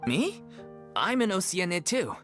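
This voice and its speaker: A man's voice: high voice, very animated, unhurried, a bit bright, heavily acted. A man playing an easygoing, food-loving stage actor in a video game, with a jovial, theatrical manner. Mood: pleased. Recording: game music behind.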